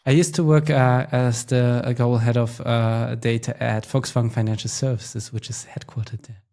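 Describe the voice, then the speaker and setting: A man hosting a podcast interview, asking questions in a relaxed, hesitant way with fillers. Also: medium pitch, normal speed, creaky. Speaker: podcast host.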